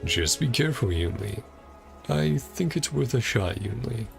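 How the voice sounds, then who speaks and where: A man's voice: mid-range voice, lively intonation, a little slow, creaky. A man casually commenting as he plays a video game, often reading along in musing fragments. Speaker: streamer playing a video game. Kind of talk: casual gameplay commentary, reading subtitles aloud. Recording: game music behind the voice. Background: game music.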